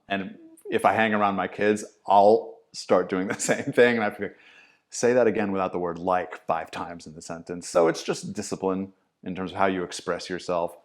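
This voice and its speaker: Middle-aged acting teacher guy, lowish voice, loose animated storytelling on a podcast. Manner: animated storyteller, acts out quotes with swearing. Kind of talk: casual conversational interview.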